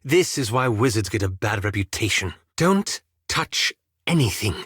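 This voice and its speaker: Fastidious, wryly expressive wizard. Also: male voice, lowish voice, husky, no room sound, studio quality. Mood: dry, exasperated. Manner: formal, wry, understated phrasing. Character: reserved, fastidious, dryly sarcastic male wizard of scholarly temper. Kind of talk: scripted video game character dialogue.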